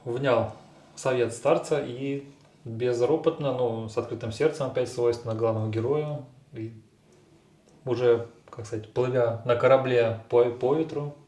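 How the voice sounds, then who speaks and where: A man's voice: mid-range voice, fairly even intonation, measured pace, darkish tone. Male co-host, hesitant, full of fillers, echoey room. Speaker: co-host discussing a fairy tale. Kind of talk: casual analytical discussion.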